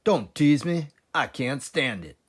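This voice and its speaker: An anxious storybook badger , put on by a man, desperate and fretful. His dramatic exclamations swing widely in pitch, yet the words are drawn out. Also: lively intonation, slow pace, husky. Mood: incredulous. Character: excitable, anxious father badger, hungry and desperate.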